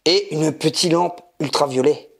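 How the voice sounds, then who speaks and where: A man's voice: on the high side, unhurried, vocal fry. A man with a French accent co-hosting a casual collectibles unboxing chat, joking and laughing often. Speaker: co-host of a collector channel.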